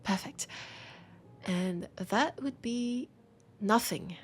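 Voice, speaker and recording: A woman with a French accent playing a romantic, teasing bookseller in a soft, breathy, intimate roleplay audio. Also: mid-range voice, slight background noise. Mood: fond, playful. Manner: slow, breathy, whispery, tender and playful. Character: romantic, teasing female bookseller fond of a vampire customer. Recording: music behind the voice. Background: music.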